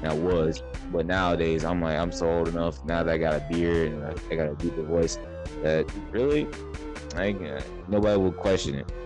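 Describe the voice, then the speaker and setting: A man's voice: sits low, fairly even intonation, fairly quick, over music. A young man hosting a podcast, chatting casually and rambling, often restarting his sentences. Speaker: podcast host. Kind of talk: casual chat.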